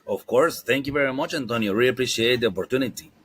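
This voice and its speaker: A man's voice: mid-range voice, quick pace, background noise. A man in his forties from Guatemala, a native Spanish speaker with a Guatemalan accent, chatting casually as an interview guest and rephrasing as he goes. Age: 40s. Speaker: podcast guest, marketing entrepreneur.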